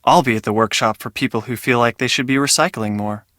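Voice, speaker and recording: An actor playing a mild, earnest, genteel public radio host, announcing in an understated way for a video game. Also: male voice, medium pitch, fairly even intonation, fast, pushed and bright, no room sound, studio quality, close to an everyday voice.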